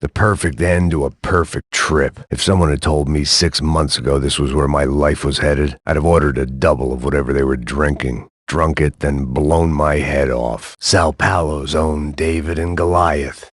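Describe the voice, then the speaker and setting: A world-weary middle-aged ex-cop narrates a noir video game in a bleak, sardonic deadpan. His voice sits extremely low and sounds husky and gravelly. It is recorded dry and close, with no room sound. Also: moderate pace, few pauses, no room sound. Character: world-weary, hard-drinking male ex-cop antihero.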